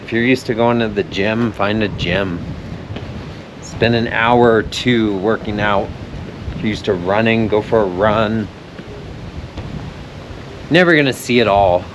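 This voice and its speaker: A man with a fairly low voice talks casually and reflectively, as a travel vlogger would. Clear ambient noise sits behind him. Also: measured pace, pauses often. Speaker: travel vlog host, nonprofit representative. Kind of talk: casual reflective talk to camera.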